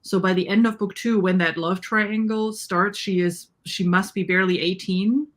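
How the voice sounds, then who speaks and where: A woman's voice: medium pitch, steady tone, moderate pace. Woman in a casual book discussion. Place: indoors on a livestream video call.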